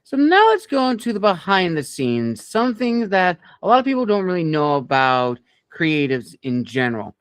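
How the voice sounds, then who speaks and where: Smooth, higher-pitched male interview host. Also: huge pitch swings, normal speed, hardly any pauses, dry recording. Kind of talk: conversational interviewing.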